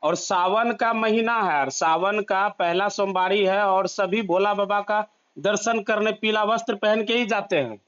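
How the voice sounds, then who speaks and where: Clear, high-voiced male news interviewer. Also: measured pace, fairly bright tone, highs cut off. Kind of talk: news interview over the phone.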